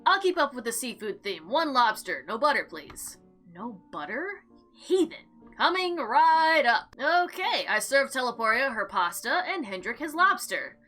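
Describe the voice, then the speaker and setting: Bright, high-pitched, very animated woman gamer. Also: measured pace, faint music behind. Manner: expressive, slangy, sarcastic asides. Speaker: gaming video host. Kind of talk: animated let's play, reading game text aloud with casual commentary.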